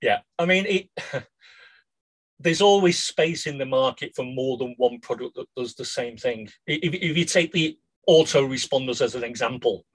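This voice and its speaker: A man with a croaky voice, co-hosting a casual business podcast and often checking in with "yeah?". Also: mid-range voice, moderate pace. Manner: addresses listeners as guys, ends lines with "yeah?". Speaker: podcast co-host.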